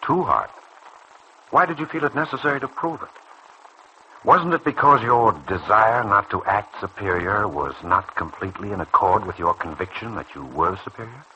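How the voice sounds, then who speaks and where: Actor as deep-voiced, earnest persuasive doctor. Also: male voice, lo-fi sound, lightly acted. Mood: probing, earnest. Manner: measured, probing, earnest delivery. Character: calm, earnest, persuasive male doctor counselling a younger colleague. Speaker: radio serial actor. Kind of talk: vintage radio soap opera acting. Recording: noisy old radio drama recording.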